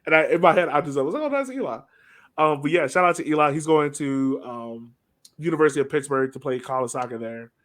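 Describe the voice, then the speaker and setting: A man hosting a soccer podcast in casual chat, informal and rambling as he thinks out loud. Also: on the high side, animated, fast talker.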